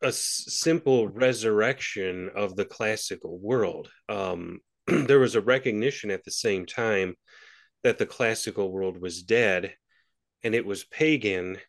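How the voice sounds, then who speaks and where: A man giving a measured explanation, clearing his throat now and then and restarting phrases. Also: lowish voice. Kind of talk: thoughtful explanation.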